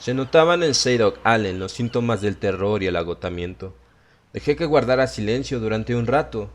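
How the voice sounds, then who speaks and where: A man's voice: lowish voice, expressive, normal speed. A man reading scripted first-person horror narration as an audiobook narrator.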